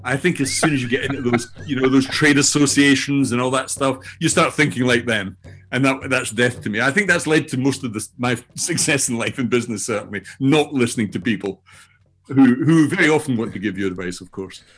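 An older Scottish man introducing himself as a guest in a loose, halting way, often laughing, over a remote call. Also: mid-range voice, a bit bright, breathy edge. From Scotland. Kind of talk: casual self-introduction.

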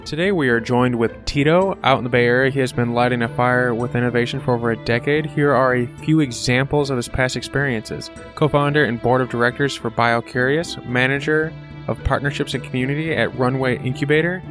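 A man's voice: mid-range voice, brisk, a bit bright. A man hosting a podcast, reading a scripted, promotional introduction. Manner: read-out, promotional. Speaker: podcast host. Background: guitar music.

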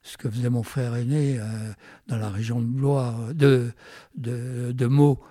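A Frenchman in his nineties shares memories in an interview. His voice is dark and husky, recorded dry with little room sound. He speaks haltingly, searching for his words as he goes. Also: mid-range voice, steady tone, dry recording. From France. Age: 90s. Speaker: interview guest recalling his life. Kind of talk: unscripted reminiscence.

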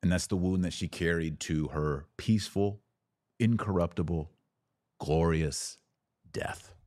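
A man hosting a podcast, giving a devotional theology talk with emphatic, deliberate phrasing and pauses for effect. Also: deep voice, a little mellow, dry recording, studio quality.

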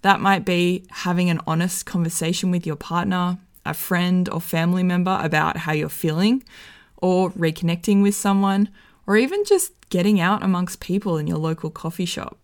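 Slightly croaky woman giving wellness tips. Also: fairly low pitch, moderate pace. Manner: friendly, enthusiastic, conversational. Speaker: wellness vlogger. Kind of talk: casual advice to camera.

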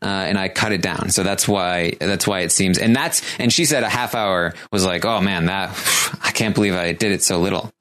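A man's voice: low-pitched, normal speed, few pauses, fairly bright tone. A man co-hosting a casual podcast, talking things through with dry, teasing humor. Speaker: podcast co-host.

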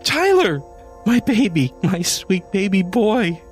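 A man voicing a grief-stricken woman who pleads in a high, shrill voice. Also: unhurried, pushed and bright, soft background music. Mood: frantic. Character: grief-stricken woman, frantic, clinging to hope.

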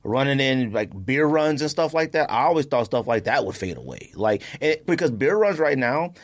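A man with an American accent chatting casually as a podcast co-host, telling stories in an animated, slangy way with frequent chuckles. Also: medium pitch, moderate pace. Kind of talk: casual chat with anecdotes.

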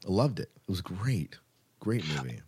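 A man's voice: deep voice, very animated, moderate pace, darkish tone, a little hiss or hum. A man co-hosting a podcast in casual chat, rambling along informally and with enthusiasm. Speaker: podcast co-host.

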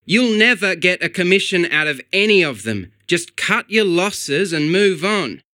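A cheeky schoolyard pigeon , put on by a man, speaks up in a raised, bright, earnest tone. The bird sounds a little prim and sure of itself, like a storybook character. Its voice has a tense edge. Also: high-pitched, animated, unhurried, no room sound, clean studio sound, voice pushed up, full character voice. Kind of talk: storybook dialogue.

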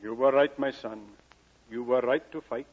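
A man acting a stern, proud miner father with gruff affection in an old radio drama. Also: medium pitch, steady tone, moderate pace, natural voice. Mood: stern, resolute. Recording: dull old 1940s radio recording.

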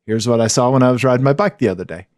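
A man co-hosting a casual podcast, giggly and animated, with a naturally high-pitched voice. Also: rapid-fire, dry recording, studio quality. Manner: giggly, animated, voice jumps high when excited. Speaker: podcast co-host.

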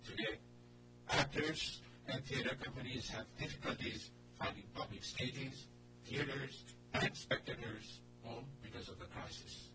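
A man reading out a written message in a declamatory, measured way. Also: medium pitch, background noise. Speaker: guest reader. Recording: loud music behind, distant mic.

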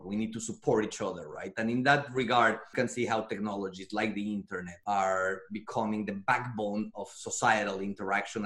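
A man from Mexico with a Mexican Spanish accent, giving measured, explanatory answers as an interview guest. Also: lowish voice. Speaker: interview guest, defense futurist. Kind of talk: thoughtful conversational answers.

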